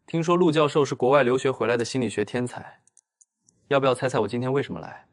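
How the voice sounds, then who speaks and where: A man playing a seemingly innocent, shrewd young university student in an animated drama. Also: mid-range voice, quick pace, lightly acted. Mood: probing. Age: young adult. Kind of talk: audio drama acting for an animated story.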